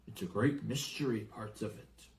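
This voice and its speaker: A man voicing a big, gruff, excitable but kindly giant with a rustic, blustering way of talking, in a storybook reading. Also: lowish voice, moderate pace. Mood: anxious, hesitant. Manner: rustic, blustering exclamations and hesitant asides.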